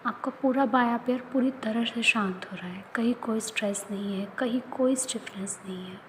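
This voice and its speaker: A woman leading a guided yoga nidra relaxation, speaking in soft, often whispered instructions. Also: a little high, normal speed, a little hiss or hum. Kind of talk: guided meditation.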